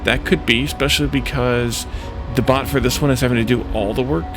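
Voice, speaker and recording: A man hosts a video game playthrough, talking through his decisions as he goes. His mid-pitched voice has a noticeable creak, and his delivery is hesitant and unscripted. Music plays clearly behind him. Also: fairly even intonation. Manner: thinks aloud, hesitant and halting. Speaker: let's play host. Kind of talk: unscripted gameplay commentary. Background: clear background music.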